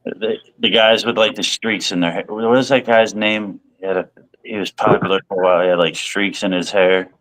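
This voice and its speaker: A man's voice: fairly low pitch, fairly even intonation, brisk, a bit bright, poor audio. A man in casual chat, blunt and a little hesitant, swearing now and then.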